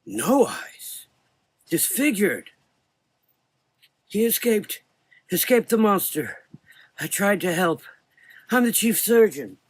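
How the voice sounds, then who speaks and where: An elderly doctor in a gothic audio drama, haunted and confused. The thin, weak voice sits high and stumbles over repeated phrases. It is recorded close and dry. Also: male voice, very animated, slightly rough, dry recording, exaggerated voice. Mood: agitated, fearful. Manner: halting, repeating phrases, exclaiming.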